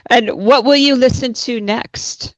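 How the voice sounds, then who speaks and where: A woman's voice: a little high, unhurried, no room sound, lo-fi sound. A woman acting as examiner in a mock speaking exam, prompting the candidate with short follow-up questions.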